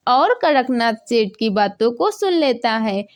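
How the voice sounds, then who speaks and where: Female narrator, somewhat high pitch, scripted children's storytelling. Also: sing-song, measured pace.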